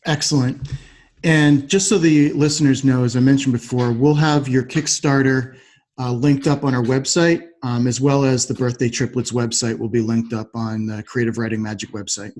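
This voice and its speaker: A man hosts a podcast interview in a medium-pitched voice. His phrasing is polite and measured, with the structured delivery of someone guiding a guest through questions. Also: steady tone, darkish tone. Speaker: podcast host.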